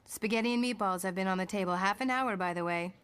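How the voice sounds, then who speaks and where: A woman's voice: mid-range voice, quick pace, fairly bright tone, clean tone, close to an everyday voice. A woman playing a no-nonsense mother of a teenager in a comic drama, dry and knowing. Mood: wry, stern. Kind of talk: motion comic voice acting.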